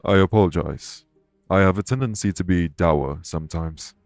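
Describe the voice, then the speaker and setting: A man playing a courteous, dour immortal king in an audio roleplay, speaking in a formal, measured way. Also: low-pitched, darkish tone, soft background music. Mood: somber, dour. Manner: formal, measured, gravely polite. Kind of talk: immersive audio roleplay acting addressed to listener.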